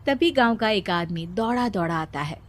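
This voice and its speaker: A woman's voice: medium pitch, animated, normal speed, no room sound. A woman reading scripted story narration for a cartoon.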